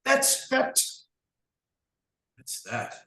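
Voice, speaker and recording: A man's voice: extremely slow, reverberant. A man playing a dreamy whispering shepherd. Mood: wary. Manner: soft-spoken, hushed, whimsical. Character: dreamy, instinct-driven younger shepherd brother. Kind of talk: staged play reading.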